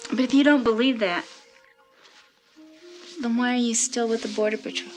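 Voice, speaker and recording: A woman's voice: on the high side, fairly even intonation, moderate pace, faint music behind, lightly acted. An actress playing a woman asking probing questions. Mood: curious. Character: curious woman questioning an agent. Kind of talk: film dialogue.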